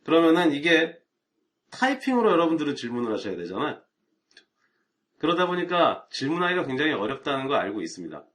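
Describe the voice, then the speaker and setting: A man's voice: a little high, fast. Casual middle-aged man chatting on a live stream. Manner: relaxed, chatty, rhetorical asides. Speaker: IT networking instructor.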